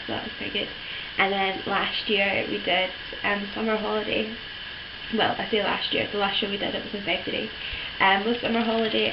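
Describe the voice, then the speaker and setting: A teenage girl, hoarse from a cold, rambling through a casual vlog with lots of ums and likes. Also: mid-range voice. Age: teens. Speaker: vlogger. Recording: dull lo-fi with steady background noise.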